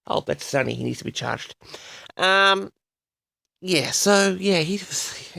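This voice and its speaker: A man hosting a personal audio log, rambling solo to his audience in a theatrical manner with drawn-out words. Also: high voice, animated, creaky, sharp tone, dry recording, clean studio sound.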